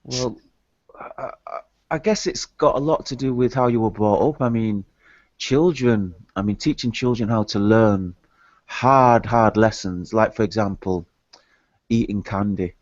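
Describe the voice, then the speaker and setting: Halting, low-voiced man on a call. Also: a little mellow, dry recording. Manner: halting, stammering, musing. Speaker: guest. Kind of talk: casual online discussion. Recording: dry, dull lo-fi call audio.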